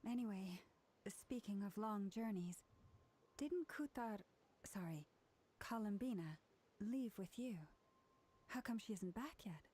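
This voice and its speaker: Mellow, gentle young lady. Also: medium pitch, animated, slow, pauses often, slightly rough. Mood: curious, helpful. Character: gentle, kindly young woman. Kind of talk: video game character dialogue. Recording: faint noise from game stream.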